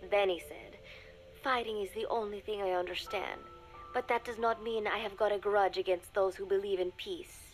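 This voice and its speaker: An actress playing a young secret agent confiding in a recorded diary, speaking in measured, formal phrases that often drop to a murmur, wistful and resigned. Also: female voice, mid-range voice, steady tone, soft background music, natural voice, poor audio. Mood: somber, resigned. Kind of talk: scripted dramatic monologue, recorded diary.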